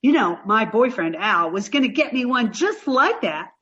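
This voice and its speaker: A woman's voice: a little high, lively intonation, normal speed, a bit bright, lo-fi sound. A woman playing a dim-witted, sweet, scatterbrained young woman in a comic old-time radio play, gushing and literal-minded. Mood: gushing, chatty. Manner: naive, scatterbrained, gushing, takes everything literally.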